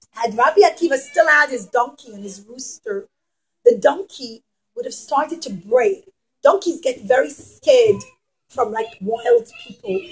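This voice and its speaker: Children's storyteller: woman, high voice, dramatic delivery, emphatic pauses, questions for young listeners. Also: husky, rough recording.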